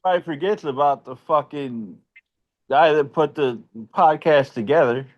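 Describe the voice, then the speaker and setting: A man's voice: fairly high pitch, moderate pace, dry recording, dull audio. A man who put the podcast together, chiming in with brief, dry remarks in casual chat. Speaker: podcast producer.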